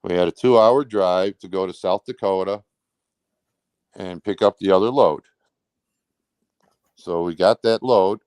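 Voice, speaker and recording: A man's voice: deep voice, measured pace, pauses often, a little mellow, clean tone, dry recording. A man talking casually as an interview guest, recounting personal events in a rambling, blunt, colloquial way. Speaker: truck driver.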